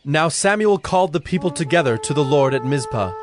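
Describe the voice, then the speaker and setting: A man narrating scripture from a script as a solemn, measured storyteller. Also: a little high, lively intonation, a little hiss or hum.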